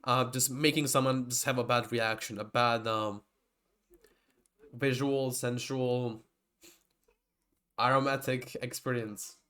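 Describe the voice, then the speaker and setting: A man's voice: mid-range voice, fairly even intonation, slow, long pauses, bright, forceful tone, smooth. A young man, a native Georgian speaker, giving a rambling, casual lecture with many restarts and digressions. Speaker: typology lecturer.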